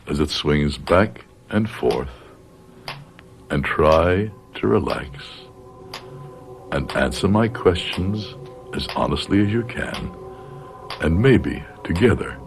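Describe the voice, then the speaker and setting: An actor playing a grave middle-aged doctor in a radio drama, speaking in a measured, probing, controlled manner. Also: male voice, a bass voice, heavily acted. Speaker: doctor, narrator. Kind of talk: radio drama acting with narration.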